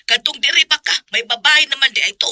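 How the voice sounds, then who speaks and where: A woman's voice: high-pitched, quick pace, pushed and bright, no echo. Piercing, blunt Visayan mother. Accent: Visayan Filipino. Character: blunt older mother warning her daughter. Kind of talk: Visayan radio drama acting.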